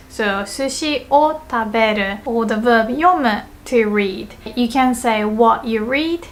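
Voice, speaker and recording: Japanese-accented woman teacher, kinda high voice, repeats examples slow and patient. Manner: explains patiently, repeats example sentences slowly. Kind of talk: casual spoken language lesson.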